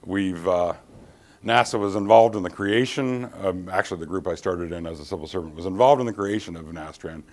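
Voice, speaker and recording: A middle-aged man with a deep voice presents an engineering keynote in a darkened conference hall. He speaks in a measured, careful way, pausing on ums and restarting phrases as he explains each slide. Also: a little mellow. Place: dim conference hall, standing by projector screen.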